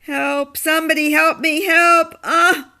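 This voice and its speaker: Captive woman played by an actress: frightened yet defiant horror radio heroine, high bright voice, halting delivery. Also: little pitch movement, gravelly, exaggerated voice. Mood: desperate. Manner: halting, gasping, swearing. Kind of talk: horror radio drama acting.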